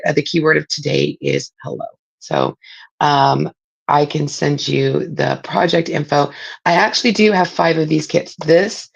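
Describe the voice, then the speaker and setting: A middle-aged woman, raspy from a spring cold, chatting casually as she hosts a live craft demo and greets viewers by name. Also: low-pitched, highs cut off. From Southern California. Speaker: craft livestream host. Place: home craft room.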